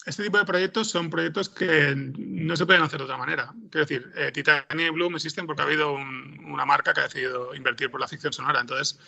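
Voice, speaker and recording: A man's voice: a little high, steady tone, fast talker, gravelly, few pauses, pushed and bright. A man with a Spanish accent from Spain giving casual interview answers, hesitantly searching for his words. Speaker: interview guest, audio fiction screenwriter.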